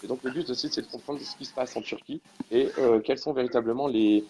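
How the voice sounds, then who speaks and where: A man's voice: medium pitch, fairly even intonation, fast, warm tone, slight background noise, poor audio. A man hosting a casual live stream interview, rambling with many restarts and fillers.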